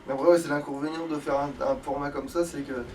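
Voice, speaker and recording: A man's voice: on the high side, little pitch movement, fast. A man giving casual, sweary, slangy commentary as he plays a video game. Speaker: gamer playing in a let's play video. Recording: distant mic with background noise.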